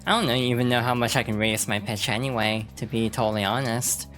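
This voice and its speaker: A woman's voice: very deep voice, quick pace, a bit bright. A woman streamer rambling through casual let's-play commentary, with lots of fillers and restarts. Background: game music.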